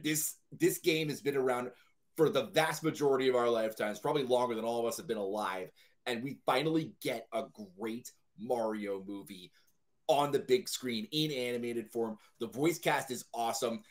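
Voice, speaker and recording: Male podcast panelist, medium pitch, enthusiastic and conversational. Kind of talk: casual chat.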